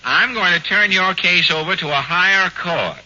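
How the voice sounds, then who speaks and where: A man hosting a 1940s radio comedy, dry and mock-stern with quick quips. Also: high voice, bright, forceful tone. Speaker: comedy show host. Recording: dull old radio broadcast, faint hiss.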